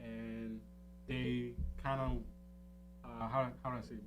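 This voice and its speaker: A man's voice: mid-range voice, little pitch movement, slow, background noise. A man in casual, candid conversation, speaking in a slangy way and often dropping in "you know.". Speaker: podcaster in a talk show conversation.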